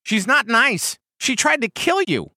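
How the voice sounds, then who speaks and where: A man plays a protective tom turkey from an animal storybook, exasperated and urgent. The voice is bright and forceful, swinging widely in pitch as it scolds and insists. The sound is dry and close. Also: big pitch swings, a little slow, no room sound, exaggerated voice. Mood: scolding, upset. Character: protective, exasperated male turkey, wary older-brother type.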